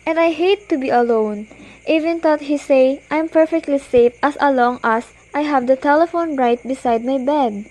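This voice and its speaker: A high, fretful young woman playing a bedridden wife in a student radio drama. She is demanding and easily flustered, pressing her case with dramatic, insistent pleas. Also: moderate pace, a little mellow, natural voice. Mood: anxious, insistent. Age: teenager. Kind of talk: amateur student radio play acting.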